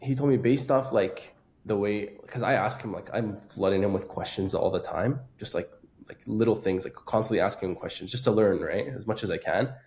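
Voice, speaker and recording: A man's voice: on the low side, a little fast, a little mellow, a little hiss or hum. A man in his early twenties, a dental student guest, talking earnestly and a bit hesitantly. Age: 20s. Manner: earnest, hesitant, many "like" fillers. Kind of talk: casual podcast conversation.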